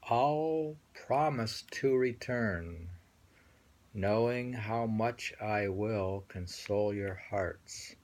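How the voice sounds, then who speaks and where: A man reading translated poems aloud and musing on them casually, in a halting manner with repeated phrases and a husky, gravelly voice. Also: on the low side, a little mellow. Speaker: reader and host.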